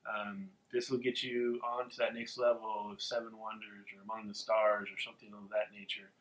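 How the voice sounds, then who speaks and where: A man's voice: on the low side, little pitch movement, normal speed, a bit bright, reverberant, low-quality recording. A man co-hosting a board game review, explaining the rules steadily with frequent ums. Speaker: podcast co-host.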